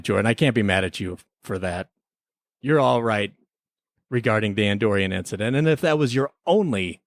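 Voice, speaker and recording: A man's voice: medium pitch, no room sound, clean studio sound. A man hosting a podcast as an investigator, giving a semi-scripted comedic review in a conversational, mock-official manner. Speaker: podcast host playing an investigator.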